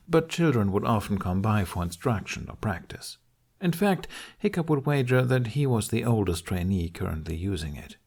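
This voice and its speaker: Guy reading an audiobook story, kinda low voice. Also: a little mellow. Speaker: audiobook narrator. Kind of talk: scripted narration of fan fiction.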